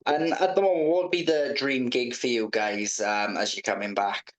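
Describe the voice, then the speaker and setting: A man doing a casual interview, speaking in a halting, informal way. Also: mid-range voice, steady tone.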